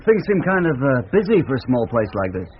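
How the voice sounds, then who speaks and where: A man portrays an easygoing American hippie drifter in a radio play. He talks colloquially and earnestly, with little hesitations and a very animated pitch. The sound is narrow and tinny, with light noise. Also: fast, lightly acted. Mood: casual, curious. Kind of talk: radio drama dialogue.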